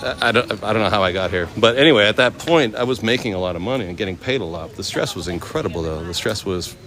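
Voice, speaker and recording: A man's voice: on the low side, quick pace, a bit bright. An American man in his forties telling stories casually to live viewers in laid-back, slangy talk while walking outdoors. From United States, West Coast. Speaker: chef and livestreamer. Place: walking outdoors in a temple complex. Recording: noisy outdoor ambience, passing vehicles.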